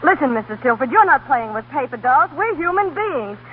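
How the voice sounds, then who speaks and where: A woman's voice: sits high, big pitch swings, fairly quick, phone-quality sound. An actress playing an impassioned, wronged woman in a 1930s radio drama, earnest and emphatic. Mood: indignant, pleading. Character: impassioned, wronged adult woman pleading her case. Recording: thin, noisy 1930s radio broadcast.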